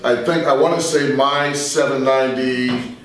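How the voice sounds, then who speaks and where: An American man in his sixties speaking as a veteran radio journalist in a reflective interview, measured and halting with emphatic asides. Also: mid-range voice, steady tone, far from the mic. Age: 60s. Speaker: veteran radio journalist and talk show guest. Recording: very echoey room, off the mic.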